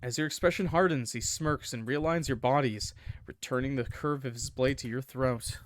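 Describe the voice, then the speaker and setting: A man's voice: mid-range voice, normal speed, no echo. A man streaming a game, reading story text aloud and breaking off into jokey, blunt remarks to his chat. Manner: jokey, blunt asides, stumbles over read lines. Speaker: streamer playing and reading a visual novel.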